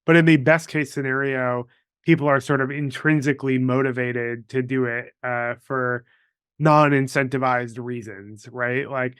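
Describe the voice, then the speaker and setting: Guy hosting a podcast, relaxed conversational chat, clean smooth voice, dry sound with no room echo. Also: mid-range voice, measured pace. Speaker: podcast host. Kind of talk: casual interview chat.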